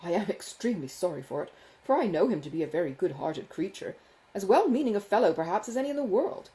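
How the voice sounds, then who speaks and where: A woman voicing a foppish, self-satisfied young gentleman in a classic novel, with an affected, gushing, condescending manner. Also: lowish voice, expressive, quick pace, lightly acted, poor audio. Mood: mock concern. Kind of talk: dramatised audiobook dialogue from a classic novel.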